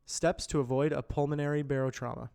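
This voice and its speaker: A man reading scripted educational and corporate narration in a measured, clearly enunciated explainer delivery, as an amateur voice actor. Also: medium pitch, steady tone, darkish tone.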